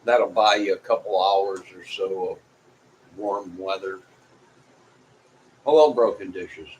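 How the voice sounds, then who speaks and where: A mature man with a lowish voice talks at a very unhurried pace. He rambles along in a folksy, casual vlog style, circling back over his points, with clear noise around him. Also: a little mellow, poor audio. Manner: folksy, rambling, repeats himself. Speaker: vlogger. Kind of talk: casual chat to viewers.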